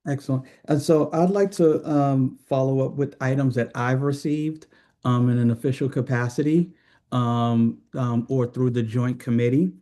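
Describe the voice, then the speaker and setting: A man speaking as a committee chair, making formal meeting remarks in a halting, hesitant way. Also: medium pitch, fairly even intonation, dark tone.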